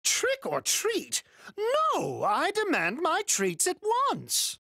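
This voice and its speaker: Game role: haughty little girl, extremely high, slow, with a creaky edge. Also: huge pitch swings, sharp tone, no echo, clean studio sound, heavily acted. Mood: imperious. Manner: haughty, drawn-out.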